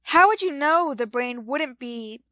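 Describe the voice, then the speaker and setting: A woman playing a curious, fascinated young woman in a retro radio drama, with a drawn-out, questioning delivery. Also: sits high, animated, pushed and bright, full character voice. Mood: probing, wary.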